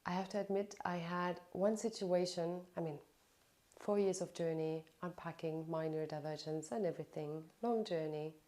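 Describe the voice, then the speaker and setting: A woman hosting a podcast interview, affirming her guest and telling personal stories in a lively, casual way. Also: fairly low pitch, moderate pace. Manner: warm encouraging listener, lively anecdotal storyteller. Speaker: podcast host.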